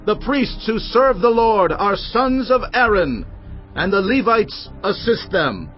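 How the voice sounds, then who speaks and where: A man's voice: sits high, big pitch swings, takes it slowly, lo-fi sound, faint music behind, full character voice. An actor playing a righteous king addressing an enemy army, declaiming solemnly in a dramatized audio Bible. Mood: solemn, defiant.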